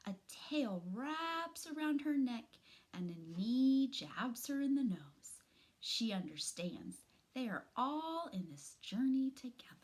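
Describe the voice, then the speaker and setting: Middle-aged female storyteller, higher voice, very animated intonation, expressive read-aloud for children. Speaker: teacher reading aloud to students. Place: small furnished room at home, indoors.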